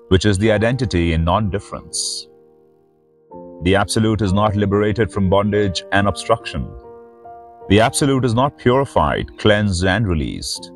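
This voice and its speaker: A man speaking in a solemn, measured way as a spiritual host reading sacred verse. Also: on the low side. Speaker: spiritual teacher and reader, host of the series. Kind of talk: slow devotional reading of sacred verse with short host remarks.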